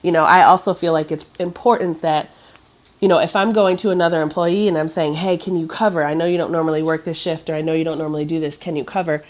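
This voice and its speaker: A woman's voice: low-pitched, steady tone, fast. A woman in her thirties chatting casually, sounding stuffy and nasal from a cough, rambling with many fillers and restarts. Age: 30s. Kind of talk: casual chat.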